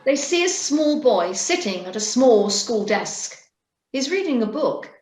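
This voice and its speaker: A woman narrating a scripted story in a deliberate storytelling manner. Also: fairly high pitch, very animated, darkish tone. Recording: roomy echo.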